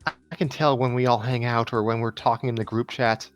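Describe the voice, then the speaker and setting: Wistful ex-idol girl played by a man, self-doubting, halting story-reading delivery, mid pitch, faint music underneath. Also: steady tone, natural voice. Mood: regretful. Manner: halting, repeats and restarts lines. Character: wistful, self-doubting young woman ex-idol running family shop. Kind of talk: dramatic fan fiction reading.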